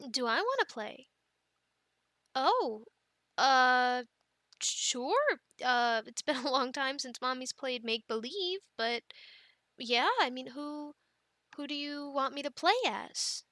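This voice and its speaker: A woman playing an affectionate, playful young mother in an ASMR family roleplay, talking gently and haltingly to her children. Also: sits high, expressive, no echo, studio quality, exaggerated voice. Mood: playful, bemused. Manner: gentle, halting, soft-spoken with playful bursts.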